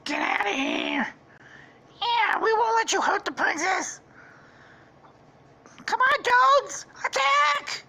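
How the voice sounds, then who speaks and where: A woman voicing small, excitable, loyal mushroom guards in a cartoonish story, exclaiming and squabbling. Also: very high voice, a little slow, pitched above the performer's own voice, heavily acted. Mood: rallying, bold.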